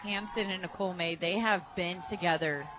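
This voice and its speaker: Chatty American woman giving softball color commentary. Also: on the low side, steady tone, brisk, background noise. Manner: chatty, enthusiastic. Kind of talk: conversational sports commentary. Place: radio booth at a ballpark.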